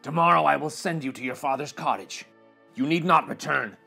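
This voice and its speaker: Storybook beast, acted by a male reader: husky, grave, self-loathing and gentle, pleading with the one he loves. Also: fairly high pitch, a little fast, soft background music, exaggerated voice. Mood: sorrowful. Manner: grave, pleading, sighing delivery.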